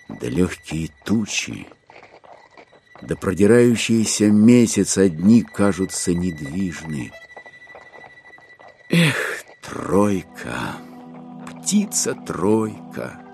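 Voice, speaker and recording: A male narrator with a fairly low voice delivers classic prose as theatre. His intonation swings widely in a rhetorical, expressive style, with faint music behind him. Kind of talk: dramatic literary narration in an audio play.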